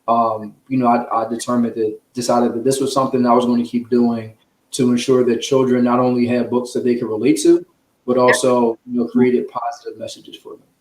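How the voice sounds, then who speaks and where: A man's voice: medium pitch, level tone, a little fast, darkish tone. A man from the United States answering interview questions as a guest author, conversational, earnest and explanatory. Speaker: guest, children's author and sports journalist. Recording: echoey room sound.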